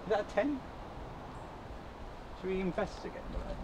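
A man's voice: high-pitched, lively intonation, normal speed. A man chatting casually as a vlog host in a hesitant, self-interrupting way, recorded on a handheld camera outdoors. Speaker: YouTuber host. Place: outdoors in muddy woods. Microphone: handheld camera while walking. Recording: noisy outdoor camera audio.

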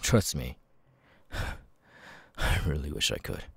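A man voicing an apologetic, troubled ex-convict with tics, talking haltingly and stammering in an ASMR audio roleplay. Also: rumbling low, big pitch swings, vocal fry, slightly rough, dry recording, heavily acted. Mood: apologetic, rueful. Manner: halting, stammering, sudden loud tic outbursts.

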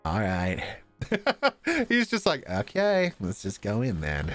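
A man streaming a game, reading its dialogue aloud with jokey ad-libs in a playful, animated manner. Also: fairly low pitch, slow, no echo. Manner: animated, stammering for effect, jokey. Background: visual novel game music.

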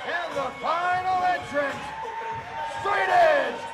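Ring announcer guy yelling names slow and drawn out, echoey, loud music blasting. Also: very high-pitched. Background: entrance music and crowd.

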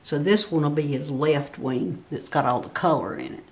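A woman's voice: sits low, moderate pace. An older woman giving a step-by-step drawing tutorial, patiently counting grid squares aloud as she works at a table. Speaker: craft instructor. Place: indoor work table.

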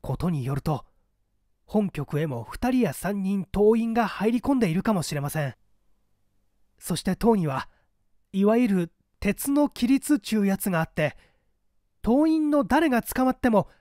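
An anxious male secretary, voiced by a man reading a story pleads earnestly and deferentially. The pitch is high for a man and very animated, recorded dry for an audiobook. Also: normal speed, no echo. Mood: earnest warning. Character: earnest, anxious male secretary addressing his superior respectfully. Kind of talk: audiobook character acting.

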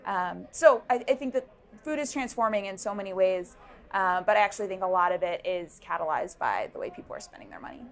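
A woman's voice: mid-range voice, fairly quick. Young woman, founder, interview answers, hesitant fillers, lapel mic, indoor event. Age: young adult. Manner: thoughtful, many ums and restarts. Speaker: startup founder. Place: busy indoor networking event.

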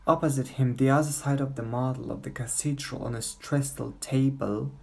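A man's voice: medium pitch, fairly even intonation, normal speed, gravelly, breathy edge. A young man reading literary prose aloud. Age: young adult.

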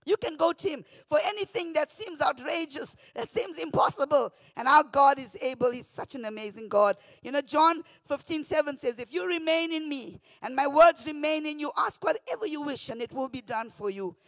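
A woman pastor with a South African accent, preaching a morning devotion from a church pulpit into a handheld mic, often shouting, then dropping to a hush. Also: high voice, lively intonation, moderate pace, hardly any pauses, no room sound. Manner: fervent, often shouting, swings from loud to hushed. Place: church stage behind a pulpit. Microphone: handheld microphone.

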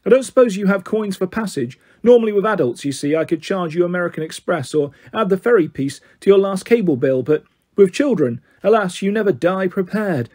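A man voicing a sly, greedy gatekeeper of the underworld in a storybook, smooth and condescending with a British accent. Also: high-pitched, animated, brisk, a little mellow. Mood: dry amusement.

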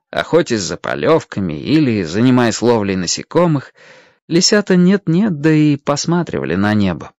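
An expressive narrator reading a children's animal story in a dramatic, scripted storytelling manner. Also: mid-range voice, no echo. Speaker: audiobook narrator.

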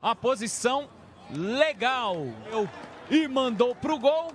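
A man with a Brazilian accent commentating a football match live, shouting through the play-by-play. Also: very high-pitched, lively intonation, takes it slowly, noisy background. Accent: Brazilian Portuguese.

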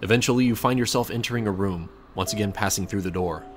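A man's voice: lowish voice, quick pace, background noise. A man reading a scripted second-person story aloud as its narrator.

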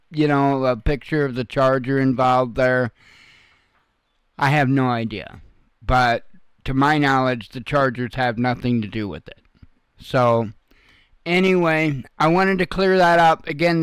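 A man's voice: medium pitch, smooth, no room sound. A man hosting informal, unscripted news commentary in a blunt, folksy way with some salty language. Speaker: host of an online news commentary channel.

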